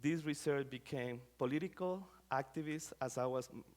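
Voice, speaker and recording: A man's voice: mid-range voice, moderate pace, darkish tone. A middle-aged man from Rica, giving an academic talk in a conversational way with many fillers and phrasing. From Costa Rica. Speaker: scholar giving a lecture.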